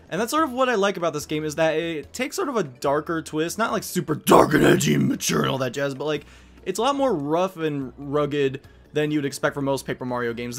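Male Let's Play host: young, higher-pitched, casual and jokey, game music behind. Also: fairly bright tone. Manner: enthusiastic, jokey. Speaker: gaming host.

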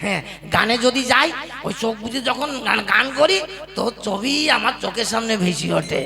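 A man's voice: high-pitched, expressive, normal speed, creaky, crowd noise. A man playing an ageing, scheming troupe-master husband in a comic puppet play, coaxing with exaggerated sweet talk. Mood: gushing.

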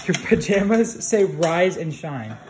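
A man's voice: a little high, sing-song, a little slow, a crowd in the background. A teenage boy teasing his mother casually in the family kitchen. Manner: teasing, laid-back. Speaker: son. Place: home kitchen.

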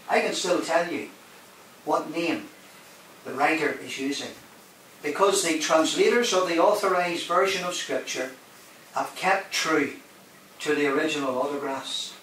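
Echoey preacher in a hall. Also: male voice, a little high, animated, moderate pace, long pauses, a bit bright. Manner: emphatic, rising and dropping for effect, questions the congregation. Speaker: guest preacher. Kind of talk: sermon. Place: large hall. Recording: very echoey hall, mic at a distance, faint hiss.

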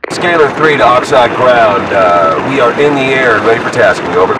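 Casting: man as steady, matter-of-fact soldier, mid-pitched, radio-filtered, very noisy distant transmission. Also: brisk. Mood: businesslike. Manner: procedural, matter-of-fact, terse. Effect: military radio filter. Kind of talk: clipped military radio chatter.

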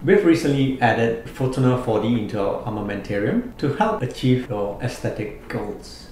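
A man, a plastic surgeon explaining a clinic treatment in measured, clinical terms. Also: medium pitch, lively intonation, slight background noise. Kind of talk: scripted promotional explainer. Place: indoor studio before a plain backdrop. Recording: very echoey room. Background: soft background music.

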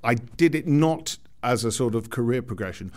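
A man speaks as an interviewed student, in a conversational style. His voice is of average male pitch. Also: animated, moderate pace.

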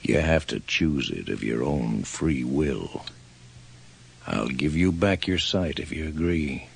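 A sinister old trainman in a radio horror play, with a bottomless, crackling gravel voice. He drawls slowly and folksily, like a sly stranger offering a dark bargain, husky and swinging in tone. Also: animated, full character voice. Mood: quietly menacing. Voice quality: very deep, gravelly. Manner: folksy rustic drawl, unhurried. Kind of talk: radio horror drama acting.

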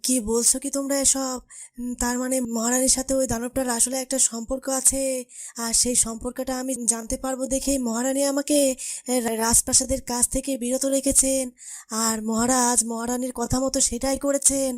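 A woman's voice: high-pitched, level tone, a little mellow, close to an everyday voice. A woman playing a hesitant royal astrologer in a cartoon, stammering and humming between phrases. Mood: grave, thoughtful. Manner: halting, stammering, punctuated with hums. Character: hesitant, puzzled royal astrologer of a fairy-tale kingdom. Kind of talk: cartoon voice acting.

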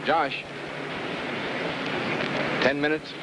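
An actor playing a troubled middle-aged American father, measured and restrained, in 1950s film drama. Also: a little high, level tone. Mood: serious, firm. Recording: noisy old film soundtrack.

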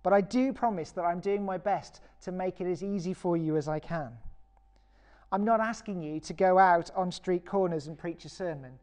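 A British woman vicar giving a recorded sermon in a measured, earnest and encouraging way. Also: lowish voice, darkish tone. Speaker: parish vicar preaching.

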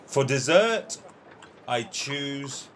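A man playing a peevish, competitive older gentleman in an amateur radio play, with a deliberate, emphatic, easily exasperated manner. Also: medium pitch, lively intonation, slight background noise.

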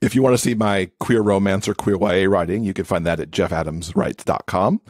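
A man with a fairly low voice talks casually as a podcast guest. His delivery is enthusiastic, and the recording is close and dry. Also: brisk, a little mellow, no echo. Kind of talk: casual chat.

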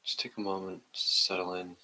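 Middle aged guy leading meditation, calm and measured, deep voice w a bright edge. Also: rough recording. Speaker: meditation guide. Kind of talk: gentle guided meditation and reading aloud. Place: indoor room at home, seated on the floor.

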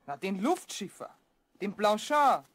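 Earthy street seller, Viennese accent, blunt, exclaiming, lively swooping pitch, radio play. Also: female voice, measured pace, breathy edge, no room sound. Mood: scornful.

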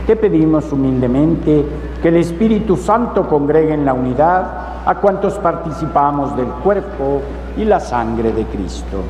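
A middle-aged man, a priest praying the Mass in a measured, solemn liturgical delivery. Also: on the high side. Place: large church, at the altar. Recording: echoey basilica, long reverb, loud noise.

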